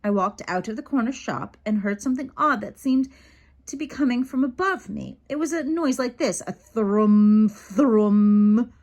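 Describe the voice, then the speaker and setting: A woman's voice: high voice, moderate pace. A woman voicing a well-meaning, rambling storybook father, earnest and whimsical. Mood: sheepish, wondering. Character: well-meaning, rambling dad, middle-aged man, storybook.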